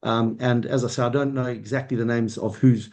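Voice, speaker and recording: A man's voice: medium pitch, fairly even intonation, brisk, darkish tone. A middle-aged man with a Zimbabwean accent, answering interview questions as a company chief, explaining things discursively step by step. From Zimbabwe, Southern Africa. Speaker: mining company chief executive being interviewed.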